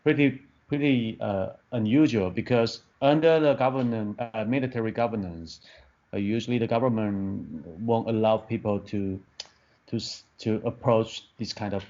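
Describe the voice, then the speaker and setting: Man, fifties, from Taiwan, second-language English, low voice, halting with many restarts, muffled lo-fi audio. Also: darkish tone. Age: 50s. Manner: hesitant, many restarts and fillers, searching for words. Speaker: film director. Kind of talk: interview answers. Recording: dull lo-fi podcast audio.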